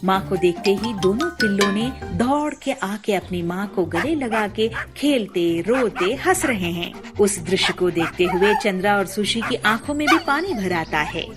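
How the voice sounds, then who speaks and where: A woman tells a children's tale in a mid-pitched voice with very animated intonation. She narrates as a storyteller for young listeners. Music is clearly heard behind her. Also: a little slow, few pauses.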